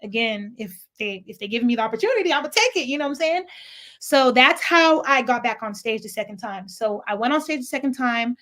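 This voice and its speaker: A woman's voice: a little high, a little fast. A young woman with a faint Nigerian accent telling a chatty, rambling storytime vlog full of asides and swearing. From San Francisco Bay Area, US. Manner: chatty, animated, digressive, profane. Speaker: vlogger.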